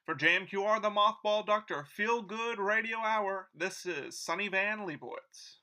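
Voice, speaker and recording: Man as a high, bright old-time radio pitchman. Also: normal speed. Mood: earnest, selling. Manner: gee-whiz, salesmanlike. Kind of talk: 1940s radio announcer parody, scripted ad read.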